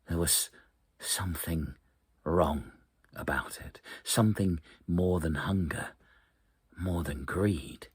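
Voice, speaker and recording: A man reading an eerie short story aloud as a storyteller, with a breathy, husky voice and hushed, suspenseful, deliberate phrasing full of dramatic pauses. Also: rumbling low, no room sound, studio quality.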